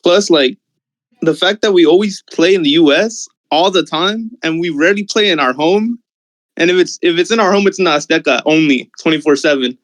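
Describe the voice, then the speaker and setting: Higher-pitched man in slangy casual chat. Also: fairly quick, a bit bright, low-quality recording. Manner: slangy, peppers talk with like and you know. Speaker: discussion panelist, football fan.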